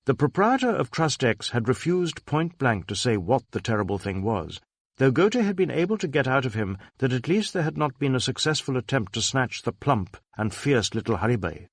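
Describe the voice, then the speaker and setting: A man narrating a detective novel as an audiobook, with measured, dramatic storytelling. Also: medium pitch, very animated, no echo, clean studio sound.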